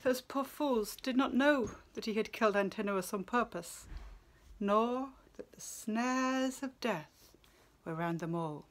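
A middle-aged woman giving a dramatic, expressive literary reading in a measured, often hushed way. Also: a little high. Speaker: translator reading own work. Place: small plain room indoors.

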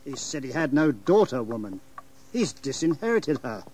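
An actor playing a formal, deferential yet disapproving manservant in an audio drama. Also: male voice, medium pitch, sing-song, moderate pace, a little mellow, a little hiss or hum, lightly acted. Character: respectful, disapproving manservant of a gentleman's household.

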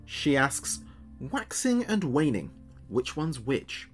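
A man plays a chatty late-night radio DJ in an audio drama. His mid-pitched voice swings in lively, theatrical turns, wry and playful, with music behind him. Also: slow. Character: chatty, jokey male small-town late-night radio host. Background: background music.

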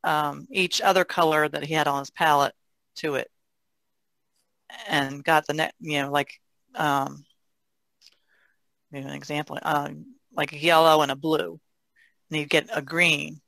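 A woman's voice: low voice, little pitch movement, measured pace, vocal fry, pauses often, fairly bright tone, no echo. An older woman, a painter, casually explaining her craft in conversation. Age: older, about 60s. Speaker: painter, podcast guest.

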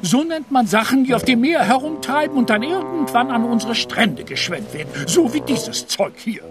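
Fussy high-voiced townsman. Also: very animated, moderate pace. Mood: explaining. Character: fussy adult man minding the town beach. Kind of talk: children's audio drama acting. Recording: loud music behind the voice.

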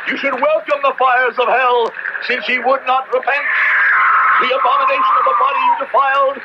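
An actor playing a fanatical, merciless Puritan judge in an old radio drama, declaiming in pompous, archaic preacher's speech. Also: male voice, very high-pitched, level tone, a little fast. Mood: thundering condemnation. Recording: noisy, dull old radio drama recording.

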